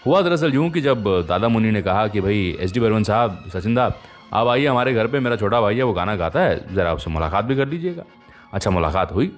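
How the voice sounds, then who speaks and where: A man's voice: on the low side, animated, fairly quick, soft background music. A man hosting a radio show, telling anecdotes in a chatty, conversational way and speaking directly to his listeners.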